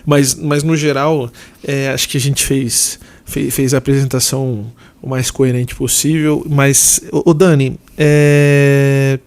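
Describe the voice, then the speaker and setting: A man's voice: mid-range voice, level tone, clean tone. A man hosting a podcast, introducing his guest in an effusive, chatty way. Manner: warm and effusive, quick asides. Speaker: podcast host. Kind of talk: casual interview chat.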